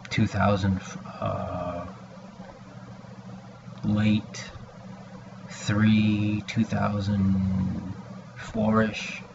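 Middle-aged man, slow halting delivery, creaky voice, rambling video message, dull noisy webcam recording. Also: sits low. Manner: halting, hesitant, with long pauses. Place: small room indoors at home. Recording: dull lo-fi webcam audio, background noise.

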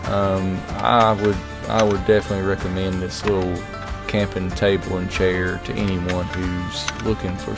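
A middle-aged man giving a relaxed, rambling product review, full of fillers and restarts. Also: fairly low pitch. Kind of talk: casual voice-over review. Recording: music playing underneath.

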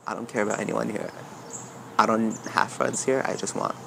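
A man's voice: lowish voice, steady tone, normal speed, creaky. A man in his twenties from Yemen, a contestant speaking bluntly and with determination outdoors. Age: 20s. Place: outdoors in a park. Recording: outdoor noise behind.

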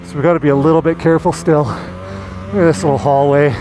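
A man from Canada giving casual, enthusiastic commentary while riding a snowmobile through deep snow, recorded on a helmet camera. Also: steady tone, quick pace. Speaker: magazine editor reviewing a snowmobile. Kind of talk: casual ride-along commentary. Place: outdoors in deep snowy forest on a snowmobile. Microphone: helmet-mounted action camera. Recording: engine and wind noise.

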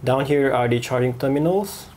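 A man reviewing a walkie-talkie at a desk, pausing often with fillers and restarts. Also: medium pitch, a little mellow. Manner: halting, many fillers and restarts. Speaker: gadget reviewer. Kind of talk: product review voice-over.

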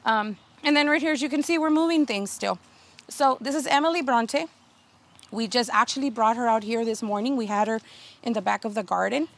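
A woman's voice: a little high, fairly quick. A woman in her thirties giving a casual tour of her home garden outdoors, talking in a chatty way with many fillers and restarts. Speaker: garden video host.

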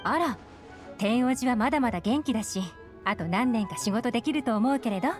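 A woman's voice: a little high, brisk, music in the background, natural voice. An actress playing a cool, shrewd young woman investigator in a Japanese game, composed, analytical and direct.

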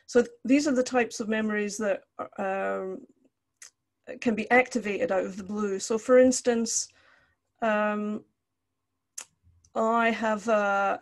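A woman's voice: medium pitch, fairly even intonation, slow pace, creaky. An older woman from Scotland, lecturing over a video call in a conversational, explanatory way. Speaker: counselling lecturer. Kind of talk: online lecture with personal examples. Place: indoor room, video call.

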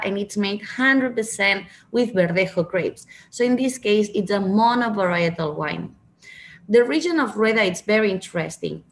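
Spanish-accented woman presenting wines. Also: medium pitch. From Catalonia, Spain. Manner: explanatory, restarts, non-native phrasing. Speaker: winery representative. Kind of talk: wine presentation to diners. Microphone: video call.

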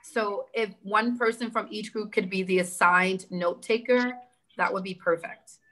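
A woman in her thirties with a New York accent runs a community meeting over a video call. Her delivery is brisk and organized, and she keeps people moving along with steady encouragement. The sound comes through a laptop webcam mic in an indoor room. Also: mid-range voice, poor audio. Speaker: town hall host. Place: indoor room with big windows.

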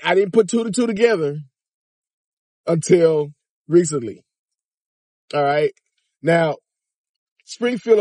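A man's voice: on the high side, no echo, studio quality. Chatty man vlogging from his car. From Miami, Florida. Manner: animated, chatty, addresses viewers directly. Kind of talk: casual commentary vlog. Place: inside a parked car. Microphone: phone or dash camera at arm's length.

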